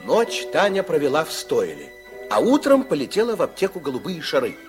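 A man's voice: fairly high pitch, wildly swooping pitch, fairly quick, over loud music. A man narrating a radio fairy tale with theatrical, dramatic storytelling.

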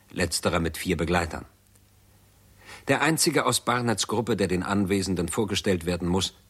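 A man narrating a 1960s German radio drama in a measured, formal, matter-of-fact way. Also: low voice, a bit bright, breathy edge. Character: sober male narrator.

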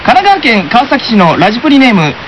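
A man's voice: very high voice, huge pitch swings, fairly quick, slight background noise, rough recording. A man co-hosting a radio show, chatting casually and presenting segments over music. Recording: loud music under the voice. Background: loud music.

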